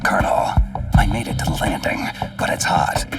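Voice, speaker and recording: An actor playing a gruff, stealthy special-forces soldier in a video game drama, speaking tersely in a gravelly, breathy near-whisper. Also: male voice, normal speed, recorded from a distance, close to an everyday voice. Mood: focused, hushed. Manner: terse, low-voiced radio reporting. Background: music.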